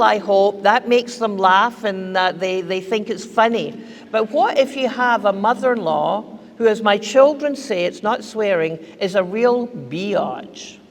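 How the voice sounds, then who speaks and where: Older woman, Scottish accent, unhurried keynote delivery, playful and emphatic, podium mic, long hall reverb. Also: on the high side. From Scotland. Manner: lively, joking, emphatic, gesturing. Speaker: keynote speaker. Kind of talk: humorous conference keynote. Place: large hall with seated audience. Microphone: podium mic on stand.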